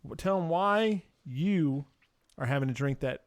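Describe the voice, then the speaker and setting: A man's voice: fairly high pitch, expressive, a little slow, no room sound. A man hosting a casual comedic podcast, teasing and firing questions at his friends in loose banter. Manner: teasing, interrogating. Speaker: podcast host.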